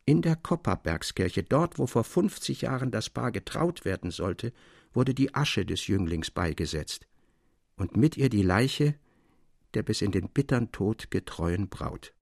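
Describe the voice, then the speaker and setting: A man giving a measured, solemn literary narration, as for an audiobook. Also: fairly low pitch, animated, gravelly, darkish tone, breathy edge, no echo, studio quality.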